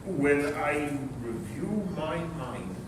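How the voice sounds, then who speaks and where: An actor playing a wry, formal bachelor uncle in a stage play reading, choosing hesitant and courtly words. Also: male voice, a little high, very animated, heavily acted. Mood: wry, halting. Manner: formal, hesitant, deliberate wording. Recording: echoey noisy hall from far off.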